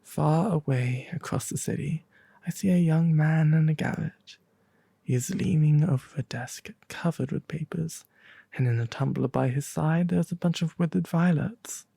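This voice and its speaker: Breathy, sorrowful prince statue voiced by a man. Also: a little high, normal speed, warm tone, no room sound. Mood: tender, sorrowful. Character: sorrowful, compassionate prince statue, gently pleading.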